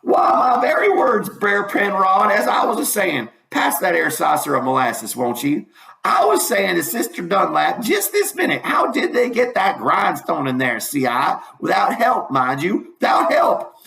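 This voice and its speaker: A man voicing a shrill, gossiping old farm woman who insists on repeating herself. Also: sing-song, measured pace, vocal fry, sharp tone, echoey room, heavily acted. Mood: insistent.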